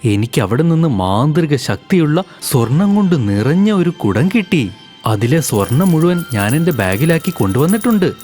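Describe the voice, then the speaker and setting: A man voicing a hardworking young village laborer who talks in an earnest, pleading way, for an animated storybook. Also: fairly high pitch, moderate pace, soft background music.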